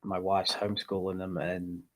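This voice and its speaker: A laid-back man in his thirties, originally from England, talks as a podcast guest with a fairly low voice. He speaks casually and haltingly, pausing often on fillers as he tells his story. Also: level tone. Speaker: university golf coach, guest. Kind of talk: casual interview chat.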